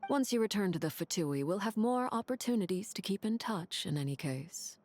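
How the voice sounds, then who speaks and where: A woman voicing a composed, courteous woman of high rank in a video game, formal and measured in her speech. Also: lively intonation, a little mellow, slight background noise, close to an everyday voice. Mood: gently teasing. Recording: game dialogue with music behind.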